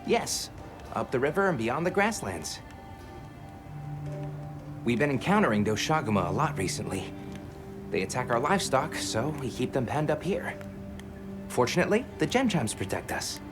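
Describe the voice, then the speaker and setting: Actor as gentle villager, earnest, explanatory, lively intonation, video game cutscene, music behind. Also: medium pitch, normal speed, long pauses, lightly acted.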